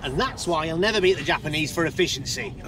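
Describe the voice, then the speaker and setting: Man acting self-important fishmonger, middle-aged, high voice, swooping delivery, inside a car. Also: animated, fast, loud music behind the voice, close to an everyday voice. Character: boastful middle-aged male fishmonger, touchy.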